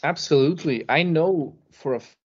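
A man explaining things casually as a podcast guest, with emphasis and pauses to find his words. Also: fairly high pitch, a little hiss or hum. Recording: dull lo-fi podcast audio.

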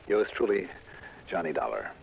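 An actor playing a wry, confident insurance investigator with punchy, theatrical phrasing in a 1960s radio detective drama. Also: male voice, low voice, sing-song, normal speed, creaky, very noisy, heavily acted. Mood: jaunty. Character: wry, confident male insurance investigator narrating his case. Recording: muffled old radio with loud music.

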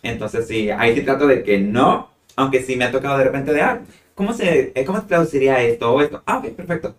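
A man with a northern Mexican accent answers questions as a psychologist guest, steady and thoughtful, often acting out short quoted exchanges. He sounds set back from the mic in a roomy space with a noticeable echo. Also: medium pitch, fast talker, distant mic. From Tijuana, Mexico. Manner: thoughtful, explains with examples and quoted asides. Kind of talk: interview answers.

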